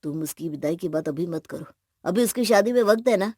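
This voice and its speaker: A woman's voice: lowish voice, expressive, fairly quick, warm tone, no room sound. Woman as sorrowful middle-aged dad, tender and pleading, family audio drama, dry close sound. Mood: subdued, pleading. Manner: pleading, sentimental.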